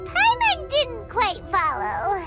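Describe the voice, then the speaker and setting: A woman voicing a small, excitable, childlike fairy companion with a squeaky voice in a video game cutscene. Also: slow pace, exaggerated voice. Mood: puzzled, curious. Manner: speaks of herself in third person, exclaiming. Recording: loud music behind the voice.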